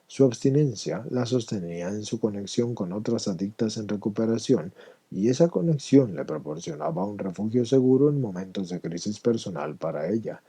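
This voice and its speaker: A man's voice: lowish voice, expressive, normal speed, mellow, breathy edge. A man reading a personal testimony aloud as scripted narration.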